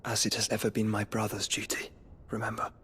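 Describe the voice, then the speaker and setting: An actor playing a scholarly young nobleman in a fantasy game, speaking formal archaic lines in a measured, soft, courtly way. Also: fairly low pitch, monotone, slight background noise, natural voice. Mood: gently firm.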